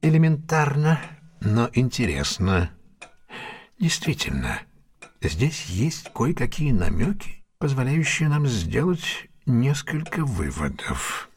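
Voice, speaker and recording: An actor playing a confident, ironic detective in an audio drama, husky-voiced, with a teasing, deliberate, theatrical manner. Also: male voice, mid-range voice, very animated, heavily acted. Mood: intrigued. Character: confident, amused, ironic male detective, keenly analytical. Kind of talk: Russian audio drama acting.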